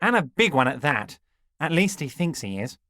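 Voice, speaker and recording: Scheming, chuckling middle-aged union boss, folksy and condescending, with a lively swooping delivery and bone-dry studio sound. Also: male voice, a little high, normal speed, no echo. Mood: sly, jovial. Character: smooth, scheming, jovial male union boss. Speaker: video game character. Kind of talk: game dialogue voice acting.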